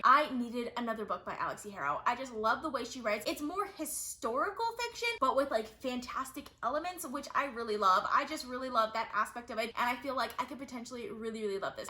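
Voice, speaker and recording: A woman's voice: high-pitched, sharp tone. A woman chatting casually as a book review vlogger, enthusiastic and gushing, often repeating words for emphasis. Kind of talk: casual chatty video talk.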